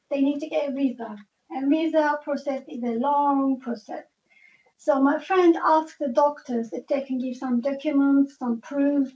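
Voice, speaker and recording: Woman, high voice, halting speech, dull lo-fi sound. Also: a little mellow. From Turkey. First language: Turkish. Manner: halting, restarts and non-native phrasing. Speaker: patient sharing her story. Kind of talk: personal testimony at a meeting. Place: meeting room. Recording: dull lo-fi meeting audio.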